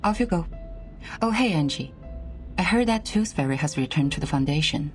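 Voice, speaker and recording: A woman voice actor playing a composed, exacting senior official in a video game, speaking in a formal, measured way. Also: low voice, lively intonation, soft background music. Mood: brisk, official.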